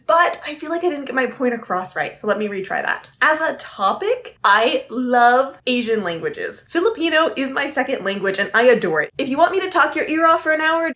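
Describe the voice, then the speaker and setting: A woman's voice: on the high side, a bit bright. A young woman giving an enthusiastic, emphatic casual book review to camera.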